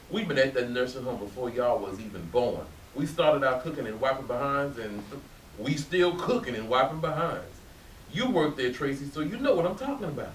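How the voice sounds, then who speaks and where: A man's voice: mid-range voice, fairly bright tone, close to an everyday voice. A man playing a laid-back, plain-spoken cook and caring family friend in a staged play reading. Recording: echoey, hissy room from far off.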